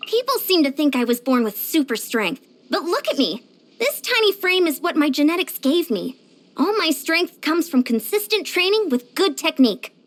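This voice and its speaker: Game role: pint-sized youthful girl trainer, high and animated, peppy, earnest motivator. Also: normal speed. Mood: earnest, motivating. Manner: peppy, encouraging, earnest, self-disciplined. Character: short, youthful, upbeat female fitness coach of superhuman strength. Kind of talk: video game character voice lines.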